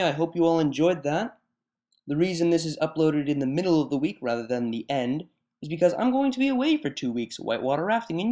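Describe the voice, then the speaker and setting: A man's voice: fairly high pitch, a little mellow. A man speaking as a fan fiction channel host, giving a casual announcement to listeners.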